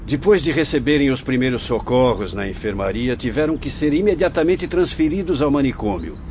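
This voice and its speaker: Man acting as wise spirit mentor guy, solemn preachy radio drama, medium pitch, dull audio with music. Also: expressive, darkish tone, a little hiss or hum, close to an everyday voice. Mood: grave, instructing. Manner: preachy, formal, measured phrasing.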